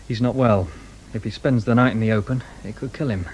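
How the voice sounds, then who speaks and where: An actor playing a matter-of-fact, sardonic British police sergeant in a radio drama. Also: male voice, mid-range voice, brisk, a little mellow, a little hiss or hum, lightly acted, low-quality recording.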